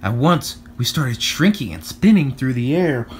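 A man reading aloud to children in an expressive, playful storybook style. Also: medium pitch, unhurried, slight background noise. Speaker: storybook reader. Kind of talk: animated read-aloud narration for children.

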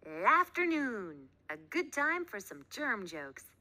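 Bright, animated woman reading a storybook. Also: medium pitch, slow. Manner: expressive, animated delivery. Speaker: read-aloud narrator. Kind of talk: children's storybook read-aloud.

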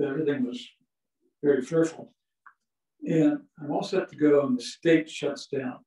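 A man's voice: a little high, measured pace, mellow. An older man giving a chatty, anecdote-filled lecture, chuckling now and then as he tells his stories. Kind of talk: storytelling museum lecture. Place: small museum meeting room, indoors. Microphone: far camera, no visible mic. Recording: echoey room from far off.

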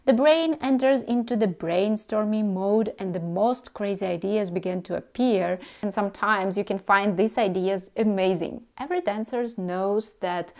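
A woman's voice: medium pitch, moderate pace, hardly any pauses. A woman in her thirties with a Russian accent, a native Russian speaker, talking to camera with tips for dancers and gesturing a lot as she explains. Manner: animated, gesturing with both hands. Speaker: dance teacher. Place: indoor room before a carved screen. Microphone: lapel mic.

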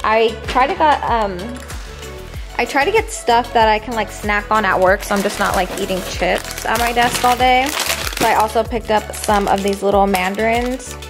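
A woman's voice: medium pitch, moderate pace. Casual young woman chatting over background music. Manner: informal, slangy, conversational. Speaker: vlogger showing a grocery haul.

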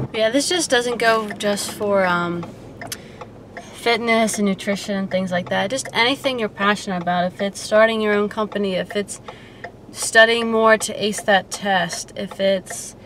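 A young woman with a slight Baltimore accent rambles cheerfully to a dashboard camera while driving. Her voice sits at an ordinary pitch, and loud road and engine noise rumbles under it the whole time. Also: moderate pace. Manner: rambling, upbeat, frequent "like" fillers. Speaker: fitness vlogger. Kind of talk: casual vlog chat. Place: inside a car while driving. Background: car engine and road noise.

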